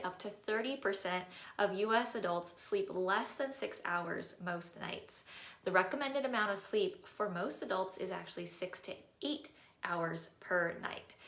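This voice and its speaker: A woman's voice: mid-range voice, fairly bright tone. A woman who is a dietitian and personal trainer teaching a live health class, sounding a little nasal with a tissue in one nostril, friendly and upbeat, laughing at herself now and then. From California. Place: indoor room, streaming live. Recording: echoey room.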